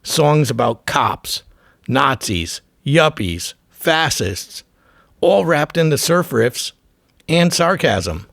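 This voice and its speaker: A man's voice: medium pitch, big pitch swings, slow pace, gravelly, fairly bright tone, no echo. Man narrating in punchy phrases, dry close sound. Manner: punchy clipped phrases, irreverent and profane. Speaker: documentary narrator and host. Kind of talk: scripted music documentary narration.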